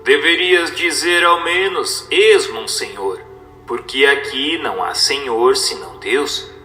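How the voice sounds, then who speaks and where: Man playing venerable dead cleric's spirit: humble, admonishing, bright voice, audiobook over music. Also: mid-range voice, slow pace, echoey room, long reverb tail. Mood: humbly admonishing. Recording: narration with background music.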